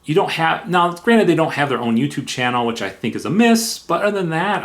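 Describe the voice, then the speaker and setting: A man's voice: fairly low pitch, fairly bright tone. A man presenting a semi-scripted review, speaking in an emphatic, enthusiastic way with conversational asides. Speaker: software reviewer.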